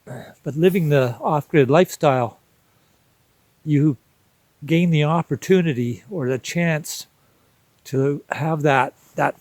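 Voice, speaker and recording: A man, speaking a reflective personal monologue in an unhurried, contemplative way with deliberate pauses. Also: on the high side, a little mellow, no echo. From Canada. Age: 60s. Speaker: vlog host.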